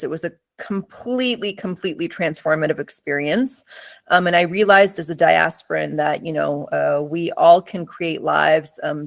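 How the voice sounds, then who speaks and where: A woman in her forties with a low voice presents over a video call. She talks conversationally, with many ums and a few playful asides. The sound is dry and close. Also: dry recording. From Iran. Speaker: program director presenting. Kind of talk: webinar presentation.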